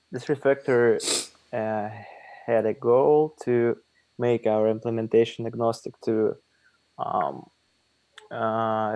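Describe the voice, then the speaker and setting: A man giving a halting status update for his developer team on a video call, with many fillers. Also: mid-range voice, darkish tone, no room sound. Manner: slow, hesitant, many fillers.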